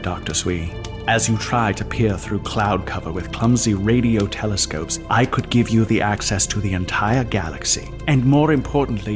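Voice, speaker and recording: An actor playing a calm, commanding envoy making a persuasive offer in a sci-fi audio drama. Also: male voice, mid-range voice, close to an everyday voice. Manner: persuasive, grave, measured. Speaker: envoy of an immortal society. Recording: music bed under the voice.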